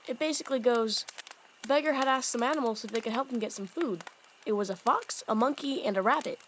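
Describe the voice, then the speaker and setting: A woman's voice: fairly high pitch, fairly quick, slight background noise, natural voice. A woman voicing a small, earnest young fox-demon boy in a fan audio drama, telling things in a simple, childlike way. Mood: earnest, sleepy.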